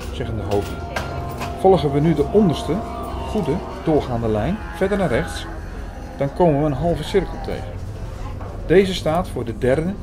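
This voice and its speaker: A man playing an earnest first-person narrator in an audio drama, speaking in a measured, formal, bookish way. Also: mid-range voice, natural voice. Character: Hugo, earnest male first-person narrator reading out prophecy.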